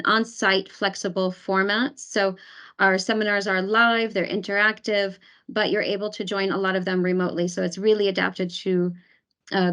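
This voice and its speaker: A woman's voice: mid-range voice, fairly bright tone. A woman hosting an online webinar as program advisor, talking with many fillers and restarts over a video call. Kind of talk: webinar presentation.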